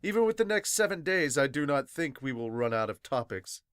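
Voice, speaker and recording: A man's voice: mid-range voice, very animated, a little fast, fairly bright tone, clear, resonant tone, dry recording. A man voicing a pompous royal delegate, speaking formally and statelily in an amateur manga dub. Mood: stately.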